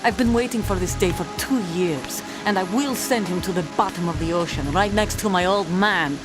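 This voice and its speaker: Low-voiced, defiant mercenary woman, played by an actress. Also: moderate pace, music in the background, close to an everyday voice. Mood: furious. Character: defiant, bitter young Russian mercenary commander, female. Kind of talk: dramatic video game cutscene acting.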